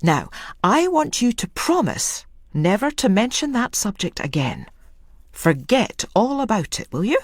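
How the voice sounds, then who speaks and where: A woman's voice: mid-range voice, sing-song, a little slow, no echo, clean studio sound. A woman voicing a stern middle-aged matron who scolds a girl in a firm, coaxing way. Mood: scolding. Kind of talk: audiobook reading.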